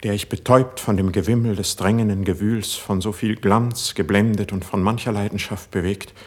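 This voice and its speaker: An actor playing a passionate, melancholic young court poet in solemn, declamatory classical verse for radio drama. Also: male voice, lowish voice, breathy edge. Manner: solemn, measured, declamatory delivery.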